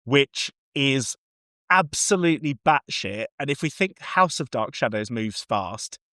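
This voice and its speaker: Male podcast guest, mid-pitched, effusive and chatty, giving casual reviews on a dry recording. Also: lively intonation, measured pace, bright, forceful tone, no room sound. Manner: enthusiastic, effusive.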